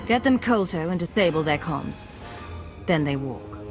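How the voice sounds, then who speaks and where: A woman's voice: deep voice, expressive, moderate pace, over music. A woman playing a cool, decisive agent in command in a video game, controlled and authoritative. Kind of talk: video game cutscene acting.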